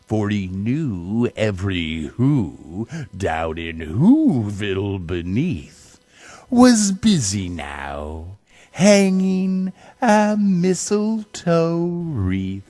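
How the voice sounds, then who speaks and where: A man reading a children's story theatrically, with dramatic, drawn-out emphasis. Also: on the low side, sing-song, warm tone, dry recording. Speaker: storybook reader.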